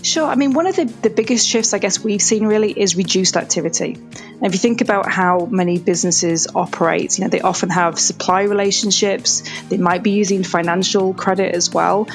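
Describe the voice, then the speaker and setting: A woman's voice: mid-range voice, fairly quick, soft background music. A woman from England with a Yorkshire accent, answering podcast interview questions in a thoughtful way, hedging with fillers. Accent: Yorkshire English. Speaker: analytics executive, interview guest.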